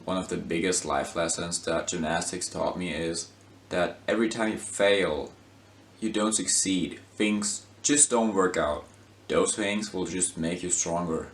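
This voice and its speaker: A man from Germany, a gymnast speaking in a steady, motivational way. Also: low voice, a little slow, roomy sound, slight background noise.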